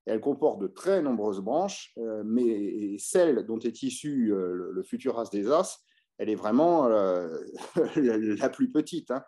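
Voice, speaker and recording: A man's voice: medium pitch, mellow. A man giving an unscripted history lecture in French, hesitating often with filler sounds and restarts. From France. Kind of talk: unscripted illustrated history talk.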